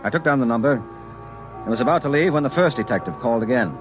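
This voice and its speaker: An actor playing a harried, dogged investigator in a 1950s radio drama. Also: male voice, mid-range voice, expressive, fast, over music, close to an everyday voice. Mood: reflective narration. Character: harried married investigator, dogged but placating. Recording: muffled old radio broadcast.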